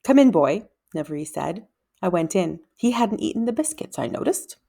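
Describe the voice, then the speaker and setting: A woman reading a children's book aloud as an expressive, dramatic storyteller. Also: on the low side, moderate pace, gravelly. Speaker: read-aloud reader.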